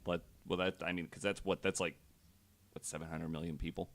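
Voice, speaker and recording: A man's voice: low-pitched, level tone, brisk, fairly bright tone. A man co-hosting a casual podcast, rambling with many hesitations and fillers. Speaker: podcast co-host. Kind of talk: casual chat.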